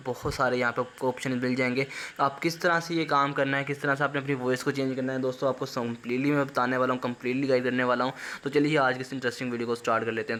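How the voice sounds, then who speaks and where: A man's voice: medium pitch, fairly bright tone. A man narrating a casual tutorial as a YouTuber, chatting directly to his viewers. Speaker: YouTuber host. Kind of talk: casual screen-recorded tutorial voice-over.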